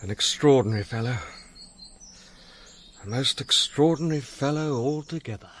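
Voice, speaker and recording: A man's voice: medium pitch, moderate pace, fairly bright tone, natural voice. A man playing a cautious, courteous English colonel with polite, hesitant understatement in a radio drama. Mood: understated.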